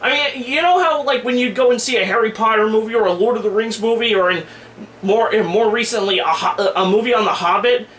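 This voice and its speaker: A man's voice: high voice, fairly quick, fairly bright tone. A man giving a casual, blunt, slangy opinion rant as an online movie and game reviewer. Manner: informal, griping, blunt slangy delivery. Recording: echoey room with faint background noise.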